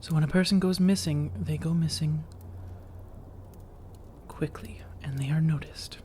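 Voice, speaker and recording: A woman playing an elegant, long-lived female vampire in a soft-spoken ASMR roleplay, breathy and often whispering, with measured, formal, persuasive phrasing. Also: sits low, steady tone, a little hiss or hum. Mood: measured, serious. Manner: measured, deliberate, formal, coaxing.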